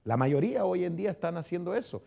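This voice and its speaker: A man's voice: sits high, lively intonation, quick pace, creaky, very noisy. A man from Chile with a Chilean accent, a pastor leading a conversational Bible study and quizzing his congregation as he talks. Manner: chatty, asks the congregation questions, frequent restarts. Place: church hall.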